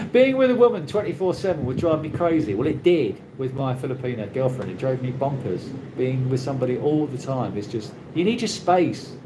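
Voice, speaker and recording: A British man hosting a casual live chat, reading out viewer comments and answering in a rambling, halting way with many restarts. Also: mid-range voice, animated. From Britain. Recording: noisy ambient background.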